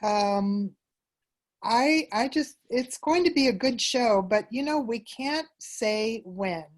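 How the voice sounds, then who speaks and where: A woman's voice: a little high, animated. A woman answering questions as a theater group's presenter, in an informal, casual interview style. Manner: unhurried, informal.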